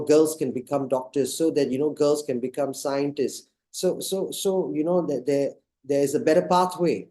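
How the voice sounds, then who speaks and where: A man's voice: mid-range voice, warm tone. A man from Singapore moderating an online panel discussion, talking in a hesitant way with many fillers and restarts.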